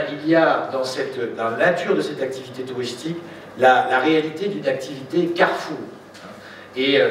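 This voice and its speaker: A man giving a formal public speech as a local official, in a deliberate, rhetorical way with drawn-out phrases. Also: mid-range voice. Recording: echoey room, distant mic, faint noise.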